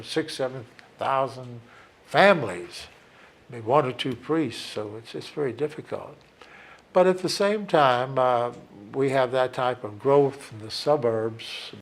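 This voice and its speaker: A man speaking as a church leader in a documentary interview, reflective and hesitant, with frequent fillers. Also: medium pitch.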